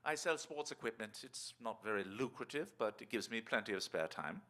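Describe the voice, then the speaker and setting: Suave retired tennis star, swooping intonation. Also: male voice, lowish voice, animated, brisk, bright, forceful tone. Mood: smooth, confiding. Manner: affable, glib, urbane, leading the talk. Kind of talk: stage radio-drama acting.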